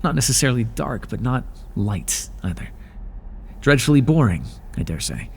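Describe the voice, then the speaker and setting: A man's voice: mid-range voice, big pitch swings, normal speed, gravelly, a little hiss or hum. A man playing an aloof, lonely god of the underworld in an ASMR roleplay, talking in a dry, languid and sardonic way.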